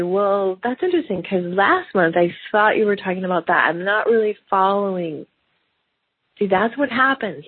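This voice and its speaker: A middle-aged woman with a very husky, gravelly voice from a slight cold hosts a business podcast solo. She talks in an animated way, popping into lively voices when she quotes people. The recording is dry and narrow, like a phone line. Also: mid-range voice, dry recording. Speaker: podcast host.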